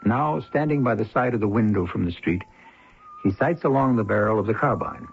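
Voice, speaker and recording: A man narrating a crime story for a radio drama, deliberate and suspenseful in his delivery. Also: lowish voice, gravelly. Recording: muffled old radio broadcast, faint noise.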